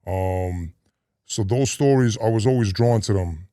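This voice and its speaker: A middle-aged man talking casually as an interview guest, relaxed and meandering with frequent restarts. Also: lowish voice, measured pace, no room sound, studio quality. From grew up in a Russian immigrant neighborhood in the US. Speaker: interview guest, retired robber.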